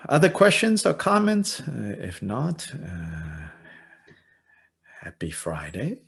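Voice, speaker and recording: A man in his fifties from Malaysia with a Malaysian English accent, teaching and answering questions in a halting way, with many fillers and dry self-deprecating humor. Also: fairly low pitch, wildly swooping pitch, vocal fry, a bit bright, breathy edge. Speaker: dharma teacher. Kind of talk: informal teaching and Q&A.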